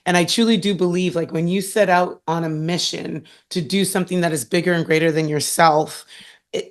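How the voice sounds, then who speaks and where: A woman hosting a podcast, introducing her guest in a bubbly, laughing, animated way with a husky voice. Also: lowish voice. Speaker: podcast host. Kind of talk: energetic interview intro.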